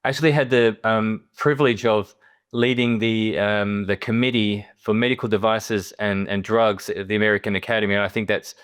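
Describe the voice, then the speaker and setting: Low, smooth-voiced Australian man. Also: fairly even intonation. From Perth, Western Australia. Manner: halting, often repeats words and restarts. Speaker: interview guest, surgeon. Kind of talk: conversational podcast interview.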